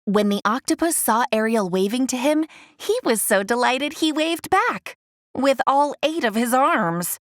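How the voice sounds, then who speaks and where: A woman reading a scripted children's read-along story in an expressive storytelling manner. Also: fairly high pitch, a little slow, dry recording, clean studio sound. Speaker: storybook reader.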